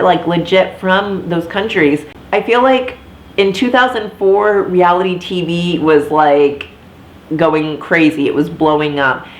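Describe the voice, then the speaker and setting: A woman in her thirties, a former theme park performer, chatting casually to camera with a slightly congested, nasal voice. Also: lowish voice. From Florida, US. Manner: chatty, many fillers and asides. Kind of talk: casual talking-head vlog. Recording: echoey room.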